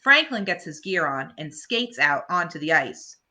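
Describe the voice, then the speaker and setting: Very bright, animated woman reading stories. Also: low voice. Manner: expressive, pauses to chat to young listeners. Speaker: children's storyteller at a library. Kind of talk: animated read-aloud bedtime story.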